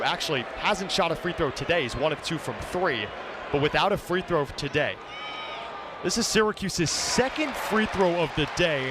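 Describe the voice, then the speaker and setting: A young man calling live basketball play-by-play as an announcer. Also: on the high side. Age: young adult. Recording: loud arena crowd noise throughout. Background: roaring basketball crowd, bouncing ball.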